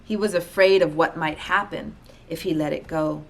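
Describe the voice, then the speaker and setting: A woman with a slightly low voice reads a children's storybook aloud. Her narration is expressive, shaped for young listeners. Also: normal speed, gravelly, poor audio. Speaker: storybook reader.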